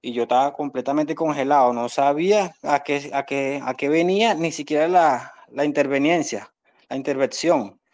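Young man, Venezuelan accent, slightly high pitch, defendant's spontaneous testimony, earnest, repetitive, phone video call. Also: normal speed, darkish tone. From Venezuela, born in Caracas. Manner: earnest, repetitive explaining with apologies to the judge. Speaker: defendant speaking at a court hearing. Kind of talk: spontaneous testimony, pleading his case. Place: indoors against a plain wall, on a video call. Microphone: phone at arm's length on video call.

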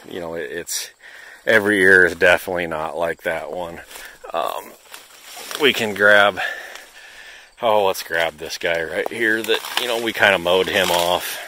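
Low, monotone Midwestern American farmer. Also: male voice, a little hiss or hum. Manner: understated, hedging. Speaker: farmer hosting a field video. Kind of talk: casual unscripted field walk-through. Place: outdoors in a cornfield. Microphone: handheld camera or phone, operator behind it.

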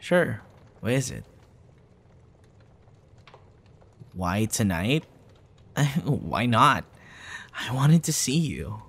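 A young man playing a tender, hesitant suitor in an intimate ASMR boyfriend roleplay, speaking softly and haltingly. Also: mid-range voice, sing-song, vocal fry, breathy edge, exaggerated voice. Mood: nervous, affectionate. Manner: soft, hesitant, with sighs and chuckles. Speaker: audio roleplay voice actor.

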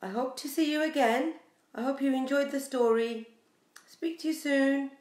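A woman in her forties reading a picture book aloud to young children in an expressive, sing-song way. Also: high-pitched, moderate pace. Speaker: preschool storyteller. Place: small bare room indoors. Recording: echoey small room.

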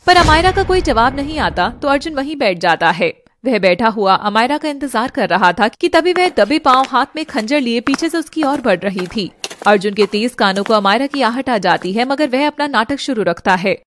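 A woman's voice: on the high side, animated, normal speed, fairly bright tone, a little hiss or hum. A woman giving dramatic scripted narration of a fantasy tale for a cartoon. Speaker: storyteller.

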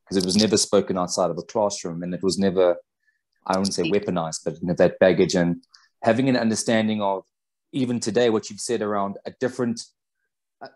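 A South African man with a very deep, low voice, moderating over a video call. He is relaxed and appreciative and talks in a loose, conversational way. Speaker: webinar moderator. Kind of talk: casual conversational talk.

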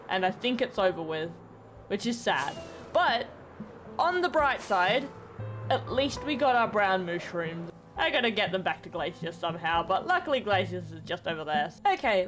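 A woman's voice: medium pitch, a bit bright. A young woman giving casual, chatty commentary while playing a game and narrating each step. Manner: chatty, upbeat, self-narrating play. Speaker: gaming channel host. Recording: faint background music.

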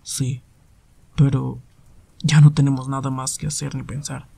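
A man plays a worn-out, brooding hero teacher in an anime roleplay. His voice is husky and dark, with a creaky edge. He speaks in a subdued, reluctant, weary way. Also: medium pitch, little pitch movement, a little slow. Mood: weary, irritated. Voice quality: husky, breathy. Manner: tired, sighing, subdued. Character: weary, gloomy, reserved male hero teacher. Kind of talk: anime fan-dub ASMR roleplay.

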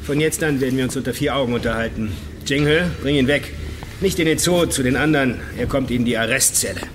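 A male actor plays a weary, stubborn agent in an audio crime drama. He speaks bluntly in an ordinary middle register with sardonic dryness. Ambient noise is clearly audible behind him. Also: medium pitch, a little fast, natural voice. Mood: impatient, firm. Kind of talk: German radio crime drama acting.

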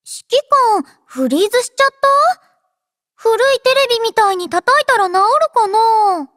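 A woman's voice: very high-pitched, animated, moderate pace, fairly bright tone, dry recording, studio quality. A woman voicing a playful, affectionate, childlike robot girl in an anime game, with a cutesy, teasing manner. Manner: cutesy, refers to herself in third person, teasing. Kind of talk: anime game character voice lines.

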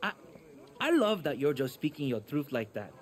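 An actor playing an eager, sheepish young rebel sidekick in a video game, chatty in manner. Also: male voice, mid-range voice, very animated, normal speed, natural voice. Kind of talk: video game cinematic acting.

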